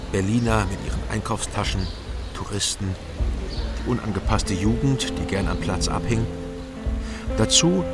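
Sober east german detective guy, low voice, terse and measured, radio crime play, music clearly behind. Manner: terse, measured, matter-of-fact. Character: sober, watchful male East German investigator, narrator. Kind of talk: radio crime drama, dry first-person narration and dialogue.

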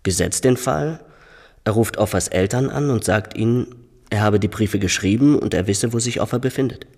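An actor playing a calculating police investigator in a radio drama, speaking in a deliberate, persuasive way. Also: male voice, fairly low pitch, a little mellow. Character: male police investigator calmly proposing a scheme. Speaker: police colleague. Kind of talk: German radio drama acting. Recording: reverberant room.

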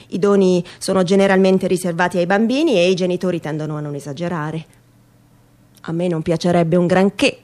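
A woman performing a theatrical reading of a humorous first-person essay in a wry, mock-earnest and expressive manner. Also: fairly low pitch.

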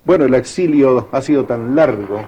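A man interviewing formally, prompting with dates and facts in a measured way. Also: mid-range voice, mellow, slightly rough.

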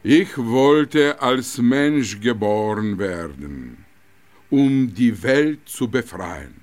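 Very slow, solemn holy master. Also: male voice, medium pitch, lively intonation. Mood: serene solemnity. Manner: solemn, measured, long pauses. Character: serene male holy master of compassion, solemnly self-revealing. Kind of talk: radio drama monologue.

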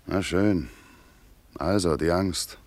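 An actor playing a skeptical, irritable village doctor in a 1950s German radio play, with a dismissive, grumbling manner. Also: male voice, low-pitched, slow, noisy background.

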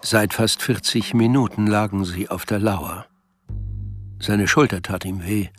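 A man's voice: on the low side, steady tone, unhurried, faint music behind, lightly acted. A man reading scripted literary narration as the sober storyteller of a radio play. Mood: sober, measured.

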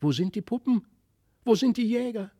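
Storybook children, played by a man, excitable and eager, pitched well up. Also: moderate pace. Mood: eager, searching. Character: excited little boy and girl calling out together.

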